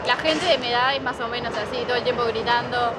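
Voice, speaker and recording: A woman's voice: on the high side, fast talker, over loud music. Eighteen-year-old Uruguayan girl in a noisy market. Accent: Uruguayan Spanish. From Montevideo, Uruguay. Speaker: market coffee vendor. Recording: loud crowd and traffic noise.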